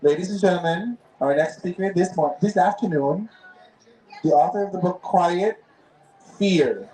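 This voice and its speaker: A man's voice: high voice, unhurried, warm tone, reverberant, poor audio. A man reading a formal introduction of an author at a book fair booth. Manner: formal announcer delivery. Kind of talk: read introduction.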